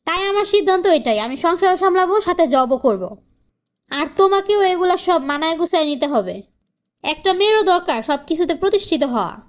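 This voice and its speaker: A woman's voice: very high voice, animated, a little fast. A woman voicing a frustrated young wife in a cartoon family drama, plaintive and earnest, pleading and standing up for herself. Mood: indignant, resolute. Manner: plaintive, earnest, emphatic complaining. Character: frustrated young wife, pleading then defiant about independence.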